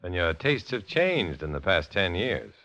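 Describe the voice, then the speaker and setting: An American actor in a 1950s radio drama playing a cool, guarded undercover agent with a clipped, measured, insinuating delivery. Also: male voice, sits low, sing-song, sharp tone, exaggerated voice. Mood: guarded, insistent. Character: cool, guarded male undercover agent posing as Communist blackmailer. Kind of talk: 1950s American radio drama acting with first-person narration. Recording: dull, lo-fi old radio recording, music behind.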